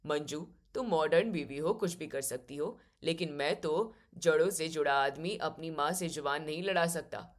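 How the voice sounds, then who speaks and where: A woman voicing a mild young husband, dutiful to his mother, who explains himself calmly and without yielding in an audio story. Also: low voice, normal speed, hardly any pauses, voice pushed down, lightly acted. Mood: calm, defensive.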